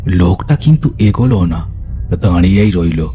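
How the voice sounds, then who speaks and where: A man's voice: sits low, lively intonation, normal speed, mellow, soft background music. A man narrating a horror story in a dramatic, scripted storytelling style.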